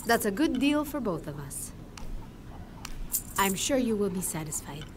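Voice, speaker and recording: A courteous female trader from a video game. She sounds assured and salesmanlike, with a very animated, mid-pitched voice and clear noise around her. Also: a little slow. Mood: pleased, assured. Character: courteous trading merchant woman. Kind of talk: video game merchant lines.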